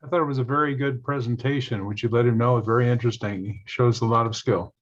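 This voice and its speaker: A man speaks up casually from the audience of an online talk. His voice is medium in pitch. The sound is dull and lo-fi. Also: steady tone, quick pace. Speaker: audience member asking questions. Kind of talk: casual question and comment. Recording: dull lo-fi call audio.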